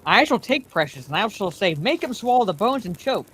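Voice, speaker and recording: A woman voicing a gentle but urgent young male hobbit, pleading and reassuring. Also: mid-range voice, big pitch swings, a little fast, slightly rough, pitched above the performer's own voice. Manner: pleading, soft then urgent.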